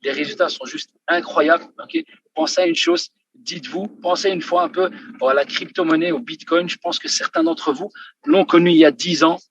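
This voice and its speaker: A man giving an emphatic motivational sales pitch on a video call, often checking in with the audience by asking "ok?". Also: animated, moderate pace, a crowd in the background. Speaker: company manager giving a testimony. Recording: dull, dry video-call audio.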